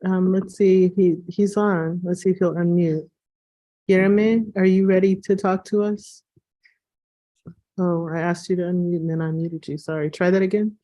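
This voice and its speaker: Woman moderator on a video call; lowish, mellow voice, casual and chatty. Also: level tone, normal speed, lo-fi sound. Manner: chatty, handling tech problems. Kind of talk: online meeting moderation.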